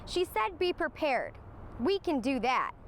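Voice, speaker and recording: A woman voices an eager young frog weatherman from a storybook. He sounds high and very animated, earnest about his job, with some noise behind him. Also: unhurried, exaggerated voice. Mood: hopeful. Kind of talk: children's storybook character voice.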